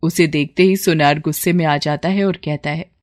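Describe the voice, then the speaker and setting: A woman narrates a scripted story in a somewhat low voice. She works as a storyteller, carrying the tale from scene to scene. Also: steady tone, normal speed, a little mellow.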